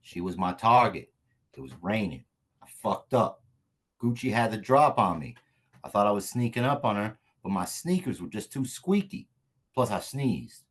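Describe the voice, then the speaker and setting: A man's voice: lowish voice, very animated, moderate pace, slightly rough. A man playing a brash, foul-mouthed, emotional small-time hitman in a comedic script table read. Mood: regretful.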